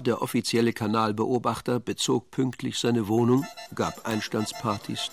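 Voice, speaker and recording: A man narrating a radio drama as a dry, composed storyteller, in a measured, literary manner. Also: mid-range voice, creaky, natural voice.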